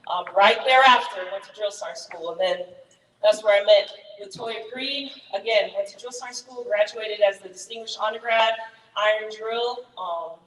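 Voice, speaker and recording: A woman in her thirties, raised in Germany with German as her first language, telling her Army career story to fellow soldiers in a candid, energetic way. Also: mid-range voice, normal speed, echoey room, big-room sound, distant mic, rough recording. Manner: candid, energetic, many fillers. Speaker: Army first sergeant, guest speaker. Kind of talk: personal story talk to soldiers.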